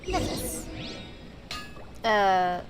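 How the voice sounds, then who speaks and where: A woman's voice: medium pitch, big pitch swings, drawn-out words. A woman giving casual commentary over gameplay as a streamer. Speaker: video game streamer. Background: game music.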